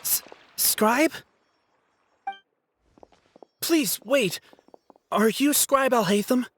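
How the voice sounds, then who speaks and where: An earnest young student in a video game. She speaks slowly and hesitantly, with big swings in pitch, proud yet easily flustered. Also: animated, a little hiss or hum.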